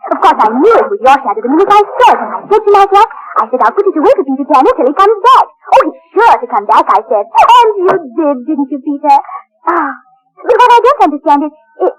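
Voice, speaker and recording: Eager little girl, super high n swoopy, prim but excitable, stagey family drama. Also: fast talker, poor audio. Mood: chatty, fussy. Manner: gushing, breathlessly excitable. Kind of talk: theatrical scripted family drama acting.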